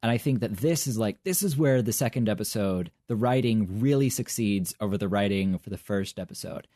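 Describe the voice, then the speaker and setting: A man's voice: on the low side, brisk, mellow, dry recording. A young man co-hosting a podcast, talking in a casual, articulate way with a dry wit. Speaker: podcast co-host. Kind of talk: casual review chat.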